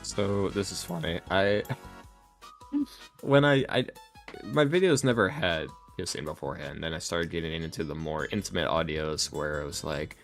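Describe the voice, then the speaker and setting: Young male podcast guest, lowish voice, slangy self-mocking banter over faint music. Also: a little slow. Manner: animated, self-deprecating, exasperated joking, slangy. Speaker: ASMR roleplay audio creator, podcast guest. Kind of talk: casual podcast chat.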